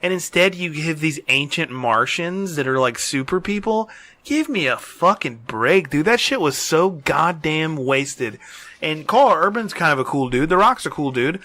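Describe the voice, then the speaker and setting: A man's voice: on the high side, lively intonation, normal speed, sharp tone. A man co-hosting a podcast, chatting casually and debating in a blunt, opinionated way with plenty of swearing. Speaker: podcast co-host. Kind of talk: casual chat debating movies and games.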